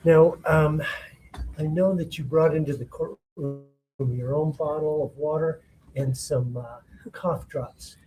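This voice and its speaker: A man questioning a witness in a courtroom as prosecutor, halting with many fillers and restarts. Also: a little high, steady tone, warm tone, rough recording.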